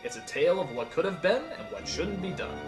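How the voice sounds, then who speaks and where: A man's voice: high voice, quick pace, over loud music. A man narrating a scripted comedic review in an emphatic, exclamatory way. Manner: animated, emphatic, exclamatory, profane. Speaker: video reviewer and countdown host.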